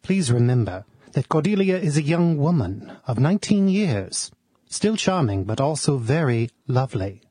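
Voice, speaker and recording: Casting: gallant country parson of Victorian times, lively inflection, husky mellow voice, formal radio drama. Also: male voice, mid-range voice, normal speed, no echo, clean studio sound. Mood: earnest, solicitous. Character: courtly, fatherly Victorian village parson. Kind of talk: period radio drama acting.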